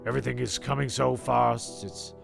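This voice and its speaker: A man playing an excitable narrator and crewman in an amateur audio comedy, with a gravelly voice. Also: medium pitch, moderate pace, over music. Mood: solemn farewell. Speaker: narrator and character.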